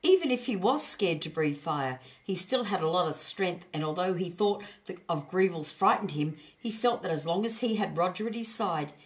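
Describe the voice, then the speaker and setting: A woman narrating a children's storybook as an expressive storyteller. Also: fairly low pitch, moderate pace, few pauses.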